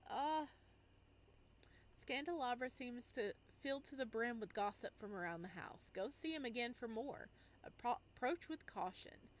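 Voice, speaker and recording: A woman's voice: on the high side, animated, moderate pace. A woman hosting a game playthrough, reading on-screen text aloud and reacting casually as she goes. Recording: clear background noise under the voice.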